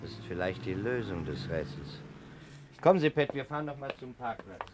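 An actor playing a courteous but firm, persistent investigator in a German radio crime play from the 1960s, questioning politely and assertively. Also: male voice, fairly low pitch, sing-song, a little fast, over loud music. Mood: brisk, focused. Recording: 1960s radio recording with faint noise.

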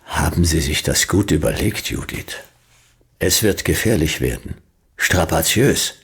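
A man's voice: rumbling low, lively intonation, measured pace, fairly bright tone, no echo, clean studio sound, full character voice. A man playing a world-weary, hard-boiled detective of fifty in a radio drama, laconic and sardonic. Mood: terse. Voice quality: gravelly. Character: world-weary, hard-boiled fifty-year-old male detective narrating his case. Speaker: lead character and narrator. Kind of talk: noir radio drama narration and dialogue.

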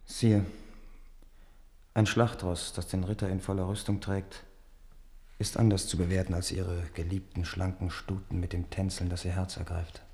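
A careful nobleman, radio play, dark low voice, big hall reverb, halting and polite. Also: level tone. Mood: guarded. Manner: halting, guarded, deferential. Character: cautious, courtly nobleman serving the king, reticent.

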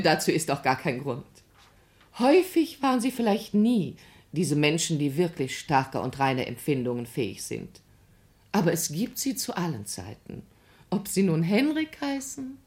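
An actress in a German radio play, playing a kindly, wise aunt who tells stories in an amused, soothing way. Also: female voice, on the low side, darkish tone.

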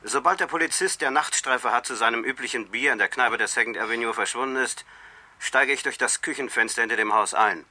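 An actor playing a self-assured hired killer in a radio crime drama, talking in a cold, businesslike way. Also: male voice, mid-range voice, brisk, sharp tone, no echo. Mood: cool, businesslike. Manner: businesslike, matter-of-fact. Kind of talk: 1970s German radio crime drama acting.

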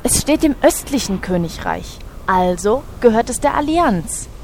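A woman's voice: a little high, animated, measured pace, a little mellow, a little hiss or hum. An actress playing a defiant, snippy female leader in a parody fantasy audio drama.

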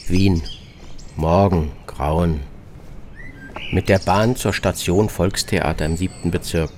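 Low-voiced man narrating in clipped phrases. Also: steady tone, takes it slowly, a little mellow, slight background noise. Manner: terse, clipped, fragmentary phrasing. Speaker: narrator of a radio travel piece. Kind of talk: scripted first-person narration, radio feature. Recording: music behind the voice. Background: music.

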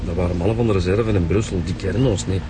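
A man's voice: sits low, steady tone, fast talker, noisy background, natural voice. A Flemish man playing a compassionate, level-headed police detective in a radio drama. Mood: matter-of-fact. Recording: background music under radio drama dialogue.

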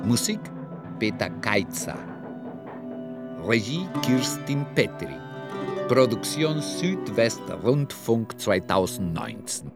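A man's voice: medium pitch, very animated, slow pace, music in the background. A man reading a scripted announcement of radio play credits. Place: studio.

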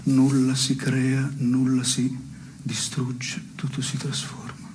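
An actor playing a wry, melancholy man in an absurdist stage dialogue, speaking in a measured deadpan. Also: medium pitch, close to an everyday voice. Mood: deadpan, solemn. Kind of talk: absurdist two-hander theatre dialogue. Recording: noisy radio broadcast of theatre piece.

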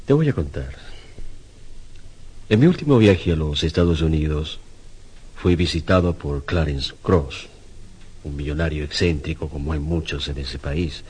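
An actor playing a troubled, brooding sculptor in a radio suspense drama, speaking gravely and theatrically with hesitant pauses. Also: male voice, rumbling low, darkish tone, exaggerated voice. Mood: intense, brooding. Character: troubled Spanish sculptor, brooding husband tormented by a commission.

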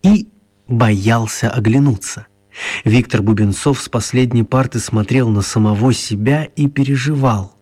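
A man narrating a scripted children's story in a measured, expressive manner. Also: medium pitch, slightly rough. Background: music.

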